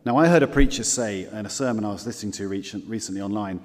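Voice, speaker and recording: A man preaching a sermon as pastor, earnest and measured, repeating key phrases and slowing down for scripture quotes. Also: on the low side, long reverb tail.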